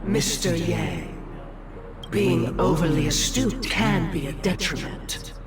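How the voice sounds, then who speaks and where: An actor playing a cold, menacing schemer who speaks with cool warning. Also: male voice, sits high, big pitch swings, takes it slowly, far from the mic, full character voice. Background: game music.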